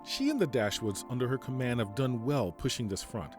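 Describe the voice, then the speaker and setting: A man playing a stern, principled army general in an audio drama, speaking formally and deliberately. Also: mid-range voice, expressive, music in the background, lightly acted. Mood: formal approval. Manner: formal, measured, deliberate speech. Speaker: military general giving a radio interview.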